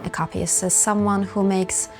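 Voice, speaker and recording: A woman's voice: mid-range voice, little pitch movement, normal speed. A woman in her twenties, from Turkey, speaking candidly and thoughtfully about her life as a documentary interviewee. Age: 20s. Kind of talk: reflective personal interview. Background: soft music.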